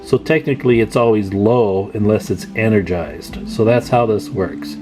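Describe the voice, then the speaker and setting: Lowish voice guy doing a casual hobby how-to, folksy, guitar music going behind him. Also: moderate pace, darkish tone. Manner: informal, folksy, addressing viewers as guys. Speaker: radio repair hobbyist and video host. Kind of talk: casual hands-on demonstration chat. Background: plucked guitar music.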